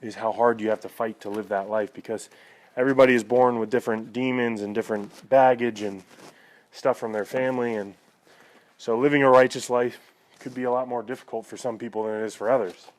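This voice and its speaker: A man's voice: medium pitch, steady tone, fairly quick, no echo, low-quality recording. A man vlogging in a casual, conversational and reflective way, talking to viewers and often checking in with "you know". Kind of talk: casual personal talk to viewers.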